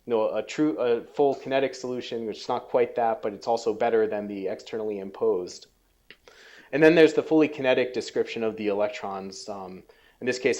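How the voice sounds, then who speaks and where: A man's voice: medium pitch, a little mellow, muffled recording. Guy doing a science talk, lots of ums, restarts, bit of a tired voice. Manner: halting, many ums and restarts. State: losing his voice a little. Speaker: scientist giving a research talk. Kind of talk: technical lecture.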